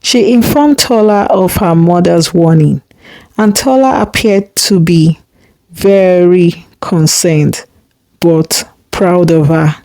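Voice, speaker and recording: A woman narrating a folktale in a measured, deliberate storybook style. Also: lowish voice, mellow, no echo, poor audio.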